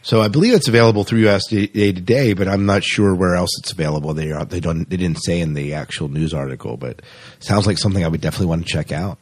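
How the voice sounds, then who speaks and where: A man's voice: deep voice, fast, husky. A man co-hosting a podcast, chatting casually and reading out news informally with offhand asides. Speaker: podcast co-host.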